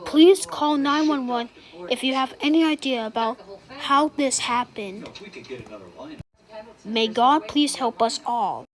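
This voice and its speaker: A woman's voice: high voice, slow, pauses often. A woman delivers a scripted emergency broadcast announcement as an alert announcer, in a formal, solemn manner.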